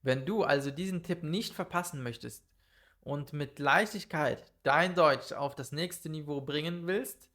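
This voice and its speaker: A man teaching German in a calm, methodical monologue, speaking slowly and clearly for learners. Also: on the high side. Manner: calm, methodical, lists numbered tips.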